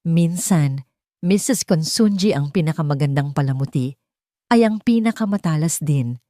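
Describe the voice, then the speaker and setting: Narrator lady doing a calm but sharp young heroine, filipino accent, determined, romance audiobook, real dry audio. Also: deep voice, normal speed, no room sound. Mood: calm, cutting.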